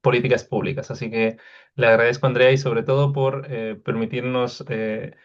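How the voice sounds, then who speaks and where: A man moderates a panel and hands over to the next speaker, pausing on fillers as he goes. His voice comes through a dull, lo-fi recording. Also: medium pitch, level tone. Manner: hesitant, many fillers. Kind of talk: introducing speakers. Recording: dull lo-fi sound.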